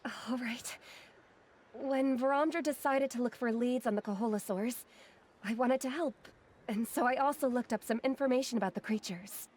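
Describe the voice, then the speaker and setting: Woman as a timid, high-voiced young scholar's assistant. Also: normal speed, a little hiss or hum, natural voice. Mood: shy. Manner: hesitant, soft-spoken, polite. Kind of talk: video game voice acting.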